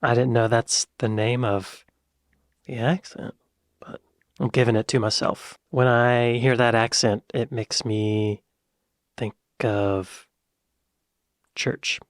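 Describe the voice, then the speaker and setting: American guy reacting, excitable then muttering, dry close mic. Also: medium pitch, slow pace, long pauses, no room sound. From United States. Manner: chatty, excitable, drops to muttered asides. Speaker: reaction video host. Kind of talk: casual reaction commentary.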